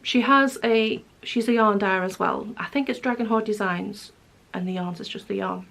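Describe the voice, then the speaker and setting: Hoarse, chatty woman. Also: mid-range voice, moderate pace, a bit bright. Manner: chatty, rambling, self-correcting. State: hoarse, croaky. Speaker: knitting vlogger. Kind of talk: casual chat showing yarns to camera.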